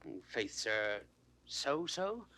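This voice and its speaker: Simple young rustic for classical comedy audio: bright, punchy voice, slow, deferential, meek. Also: male voice, medium pitch, sing-song, full character voice. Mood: bashful, polite. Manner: slow, deferential short answers. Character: simple, slow young country bumpkin, meekly polite. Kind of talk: recorded classical stage comedy acting.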